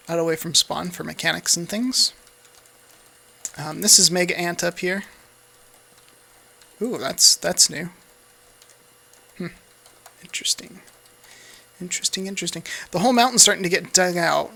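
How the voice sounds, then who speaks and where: A man's voice: fairly high pitch, creaky, pushed and bright. An American man giving casual, off-the-cuff gameplay commentary in an easygoing, rambling way. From United States. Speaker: Let's Play host.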